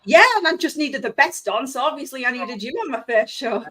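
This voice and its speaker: A woman's voice: high voice, brisk, crowd noise. A middle-aged woman from Yorkshire with a Yorkshire accent, chatty and enthusiastic as she hosts a live-stream interview. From Yorkshire, England. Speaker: host.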